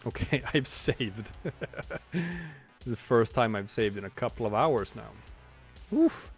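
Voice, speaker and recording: A man's voice: medium pitch, animated, slow pace, husky, faint music behind. A man in his forties, a streamer and comic artist, talking in a rambling, reflective way while he draws, with many restarts. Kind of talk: casual livestream chat while drawing.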